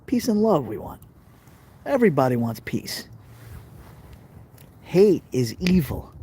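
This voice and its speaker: Mellow-voiced Brooklyn man chatting live. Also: a little high, very animated, slow, breathy edge. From Brooklyn, New York. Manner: chatty, repeats phrases, talks straight to viewers. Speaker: live stream host. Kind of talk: casual live stream chat reading comments.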